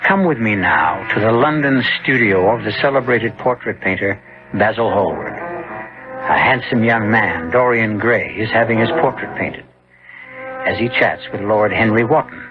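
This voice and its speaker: A man hosting and narrating a 1970s radio drama in a measured, theatrical manner. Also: fairly low pitch, animated. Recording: thin, phone-like 1970s radio with music.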